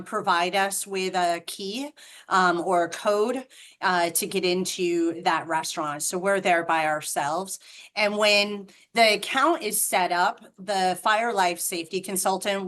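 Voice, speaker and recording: A woman's voice: medium pitch, fairly bright tone. A middle-aged woman with a Boston accent, a franchise representative explaining the business on a video call, chatty with frequent ums and restarts.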